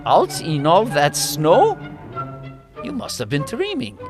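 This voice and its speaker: A droll, gossipy opera trouper, acted by a man, with a fairly high voice and lively swings in pitch. It is played as comic audio drama. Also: slow pace, music in the background, exaggerated voice. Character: wry, gossipy male member of opera troupe.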